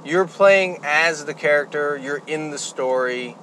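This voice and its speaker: A man co-hosting a podcast, talking in a relaxed way and laughing between points. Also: on the high side, unhurried, slight background noise, rough recording. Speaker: podcast co-host. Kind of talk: casual chat.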